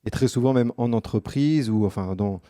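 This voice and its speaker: A man with a Parisian accent in a political debate, speaking haltingly with many fillers. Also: mid-range voice, mellow, no room sound, clean studio sound. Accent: Parisian French. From France.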